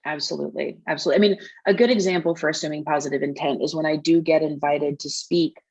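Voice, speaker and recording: Woman, low pitch, earnest, thoughtful, interview guest. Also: steady tone, fast talker, a little mellow, slightly rough, highs cut off. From United States. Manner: earnest, thoughtful, frequent fillers and restarts. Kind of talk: casual interview conversation.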